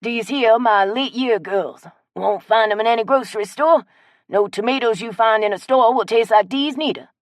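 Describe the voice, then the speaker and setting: A woman voicing a friendly, generous old man with a loud, drawling way of talking in an audiobook. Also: on the high side, moderate pace, no room sound, studio quality, voice pushed up, close to an everyday voice. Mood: folksy, hearty. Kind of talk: audiobook character voice.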